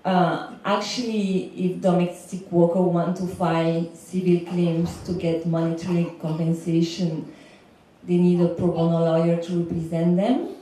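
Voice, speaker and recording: A woman with a Belgian accent, low and dark in tone, speaking on a panel in a large lecture hall. She is heard from a distance, with strong echo around her voice. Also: level tone, a little slow, a little hiss or hum. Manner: speaks with fillers and small restarts. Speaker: panelist, legal consultant. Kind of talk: panel talk. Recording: echoey lecture hall, far from mic.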